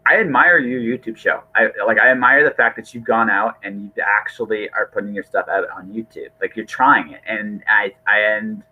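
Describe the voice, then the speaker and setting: Middle aged podcast guy, kinda husky, chatting earnestly and repeating himself, thin phone-like sound. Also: fairly low pitch, normal speed, few pauses. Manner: rambling, repeats words, earnest. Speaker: podcast host. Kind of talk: casual conversational interview.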